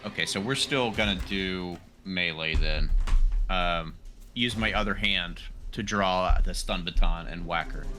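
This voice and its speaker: A man's voice: low-pitched, a little slow, pushed and bright, soft background music. A man chatting casually as a player in a tabletop roleplaying game, thinking his moves through aloud.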